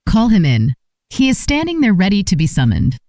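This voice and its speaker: A woman's voice: medium pitch, huge pitch swings, brisk, mellow, no echo, studio quality. A woman voicing a stern, imperious male wizard master in a formal storybook reading. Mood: commanding.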